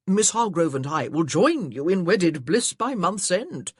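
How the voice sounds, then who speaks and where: A narrator voicing a hearty, rambling, nearsighted older gentleman in a period audiobook. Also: sing-song, measured pace, slightly rough, dry recording, studio quality, exaggerated voice. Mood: jovial. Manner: jovial, booming, rambling. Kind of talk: audiobook character voice.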